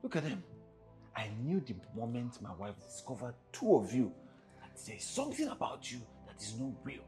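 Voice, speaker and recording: An actor playing an angry wealthy husband who has been robbed and shouts accusations. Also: male voice, medium pitch, wildly swooping pitch, moderate pace, soft background music. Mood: bitter. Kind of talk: Nigerian drama skit acting.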